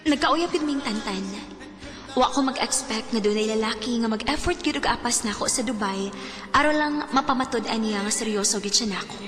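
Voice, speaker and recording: A woman's voice: fairly high pitch, brisk, over music, close to an everyday voice. A Cebuano Filipino woman acting a lonely, hopeful separated mother in an audio drama, both in dialogue and first-person narration. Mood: reflective.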